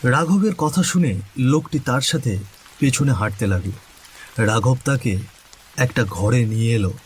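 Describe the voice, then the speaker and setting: Slow-paced male horror storyteller. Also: mid-range voice, lively intonation, rough recording. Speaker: narrator. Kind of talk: scripted suspenseful story narration. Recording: faint rain noise behind voice. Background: rain ambience.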